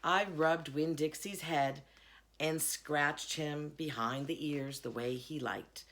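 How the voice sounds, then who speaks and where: A woman reading a children's novel aloud in an expressive storytelling manner. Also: deep voice, slow pace. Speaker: reader, school board member.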